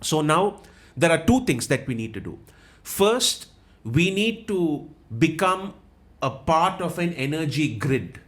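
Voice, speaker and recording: A man's voice: a little high, sing-song, takes it slowly, fairly bright tone. Sri Lankan man with a Jaffna accent. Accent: Jaffna Tamil-influenced Sri Lankan English. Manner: explanatory, repeats himself, frequent restarts. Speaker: guest analyst. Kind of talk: analytical interview talk.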